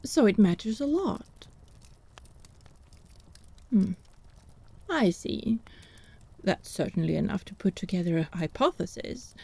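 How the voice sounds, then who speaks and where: A woman's voice: mid-range voice, sing-song, slow, creaky, pauses often, dark tone, husky, full character voice. A woman playing a haughty, teasing country healer in a fantasy audio drama, arch and inquisitive. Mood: probing, thoughtful.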